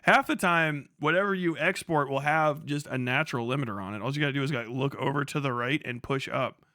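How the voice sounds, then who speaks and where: A man's voice: medium pitch, brisk, pushed and bright, dry recording, clean studio sound. A man hosting a livestream with casual, slangy, profanity-laden commentary. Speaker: livestream host.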